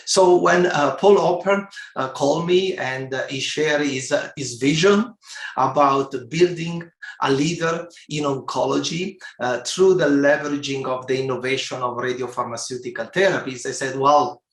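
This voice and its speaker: A man's voice: mid-range voice, normal speed. Man in an echoey room. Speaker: chief executive interviewed about his company. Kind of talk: conversational interview answers. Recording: roomy, echoey sound.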